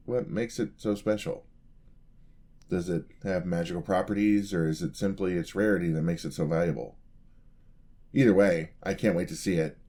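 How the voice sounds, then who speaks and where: A man's voice: low voice, mellow. A man playing an eager, charming young apprentice paladin in a fantasy ASMR roleplay, talking to the listener in a polite, easily flustered way. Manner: polite, flustered, hesitant, chatty.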